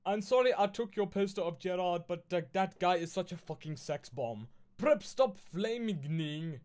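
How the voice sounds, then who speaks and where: A man voicing a melodramatic, crude teenage goth girl in a shrill, overwrought way. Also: expressive, a little slow, a little mellow. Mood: gushing. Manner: shrill, breathless, overwrought delivery.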